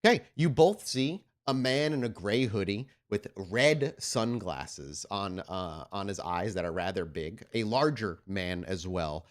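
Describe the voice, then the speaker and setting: A man's voice: lowish voice, lively intonation, a little slow, few pauses. A man narrating and refereeing a tabletop roleplaying game as game master, mixing description with casual exclamatory asides.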